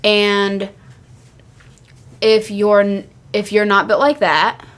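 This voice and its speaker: Woman podcast co-host just chatting away, medium pitch, really into it and opinionated. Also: flat delivery, takes it slowly. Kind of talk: casual wrestling discussion.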